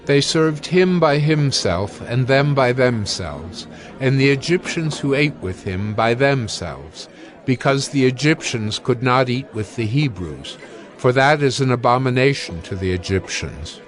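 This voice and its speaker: Male narrator, mid-pitched, measured scripture reading over soft music. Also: expressive.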